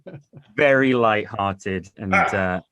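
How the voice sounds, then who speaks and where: A man speaking casually as a guest in a panel conversation, with halting, hesitant phrasing. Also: lowish voice, sharp tone, no echo. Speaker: interview guest, actor and audio drama director.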